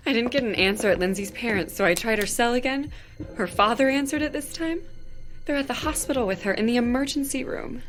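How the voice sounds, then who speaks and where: A woman's voice: on the high side, fairly quick, natural voice. An actress playing an earnest young female stage manager who talks in a worried way in a radio drama. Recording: radio drama with faint music.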